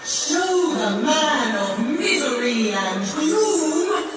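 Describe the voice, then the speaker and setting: An actress playing a menacing goblin queen in an audio drama, with a commanding, drawn-out, theatrical delivery. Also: high voice, sing-song, exaggerated voice. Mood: imperious. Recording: echoing cavernous effect, distant, music behind.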